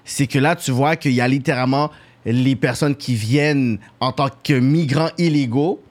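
A man's voice: mid-range voice, normal speed. A man from Quebec with a Quebec French accent, arguing his point insistently in a casual panel debate. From Canada, Quebec. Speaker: podcast panellist.

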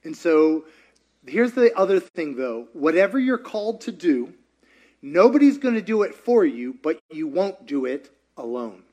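High-voiced man preaching in repetitions. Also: very animated, unhurried, mellow, highs cut off. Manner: preacherly, repeats lines for emphasis, asks the audience questions. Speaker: pastor. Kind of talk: sermon-style teaching.

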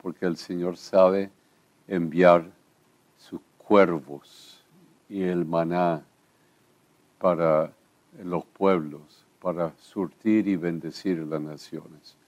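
An older man speaks as a pastor, his voice sitting very low. He talks earnestly and slows on key words. The delivery is calm and pastoral. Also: dull audio. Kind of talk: church radio conversation.

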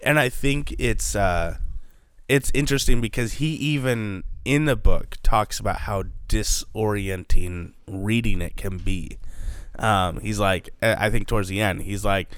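A man who is sick today talks in a rough, gravelly low voice full of fry. He rambles loosely through casual podcast chat with many fillers and restarts. The sound is close and dry. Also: a bit bright, no room sound. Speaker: podcast co-host.

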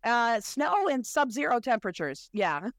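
A woman hosting a podcast, hoarse from illness, talking with enthusiasm. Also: on the high side, sing-song, moderate pace, no room sound, clean studio sound. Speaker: podcast host. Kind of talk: casual interview chat.

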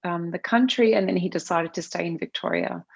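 A chatty woman from Australia whose first language is Arabic, speaking as a podcast guest. Her voice has a gravelly fry, and the sound comes through a little dull and lo-fi. She talks in a loose, rambling way with many fillers and restarts. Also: fairly low pitch, wildly swooping pitch, brisk. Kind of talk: casual conversational interview.

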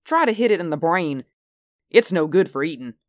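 A woman's voice: fairly low pitch, expressive, moderate pace, dry recording, clean studio sound, lightly acted. A woman voicing an agitated, anxious male spaceship crewman in a story, urgent and emphatic. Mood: tense. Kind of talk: storybook character acting.

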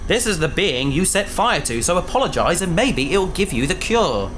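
A prim, long-suffering researcher with a formal, lively delivery. His voice sits a bit high and swings widely in pitch, with faint music behind it in a studio audio drama. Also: moderate pace. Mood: fussy. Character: prim, fussy male researcher.

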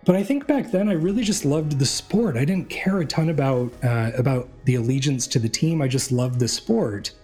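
A man's voice: medium pitch, lively intonation, moderate pace, few pauses, a little mellow, slightly rough, soft background music. A young adult man from New England hosting a solo sports podcast, talking in a rambling, chatty way with many fillers and restarts. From New England, USA. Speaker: podcast host.

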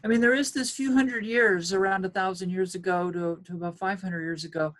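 A woman archaeologist as an interview guest, explaining her research in a measured way with some hesitations. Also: mid-range voice, fairly even intonation. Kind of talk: expert interview answers.

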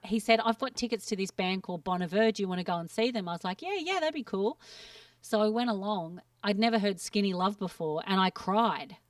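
A woman's voice: medium pitch, fairly quick, dry recording, recorded from a distance. A woman chatting casually on a podcast, gushing enthusiastically about music she loves. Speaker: guest.

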